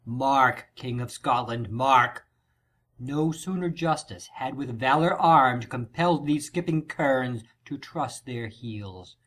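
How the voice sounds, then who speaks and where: A man's voice: mid-range voice, slow, a bit bright. A man playing a wounded soldier reporting on battle in declamatory Shakespearean verse for an audiobook drama. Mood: grim, fervent.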